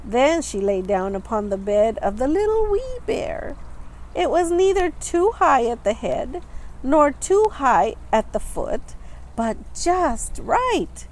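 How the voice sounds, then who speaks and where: Female storytime reader for young children: unhurried, expressive, lively intonation. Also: high-pitched, low-quality recording. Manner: expressive, with simple asides to young listeners. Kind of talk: children's picture-book read-aloud.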